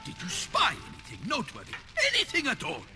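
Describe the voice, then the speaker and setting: A man's voice: very high-pitched, huge pitch swings, fast talker, heavily acted. A man playing a proud, theatrical knight errant in a fantasy video game, speaking in flowery, formal, archaic phrases. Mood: impatient. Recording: music playing behind.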